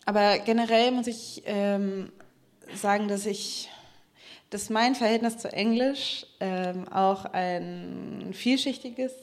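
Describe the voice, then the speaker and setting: A woman in a casual conversation, often pausing with fillers and restarting her sentences. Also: mid-range voice. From Germany. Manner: hesitant, many fillers and restarts.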